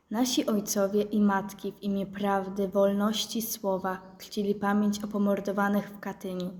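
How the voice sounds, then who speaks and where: Młoda lektorka o ciemnej, równej barwie głosu. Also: female voice, mid-range voice, darkish tone. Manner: measured, formal delivery. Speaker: narrator. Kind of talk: solemn scripted narration.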